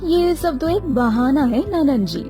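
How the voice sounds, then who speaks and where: A woman's voice: high-pitched, animated, a little slow, mellow, soft background music. Woman playing a jealous, pointed young village wife. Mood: sarcastic, sour. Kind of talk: cartoon moral-story voice acting.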